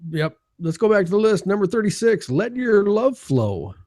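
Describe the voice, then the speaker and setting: A man's voice: high-pitched, sing-song, normal speed, darkish tone, dry recording, clean studio sound. A man co-hosting a casual live chat show, quizzing his partner on a song countdown in a laid-back, chummy way.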